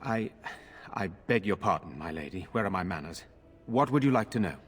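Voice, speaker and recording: An actor playing a courteous, earnest medieval nobleman in a fantasy video game, with formal, gallant manners and a husky voice. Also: low-pitched, lively intonation, normal speed, long reverb tail.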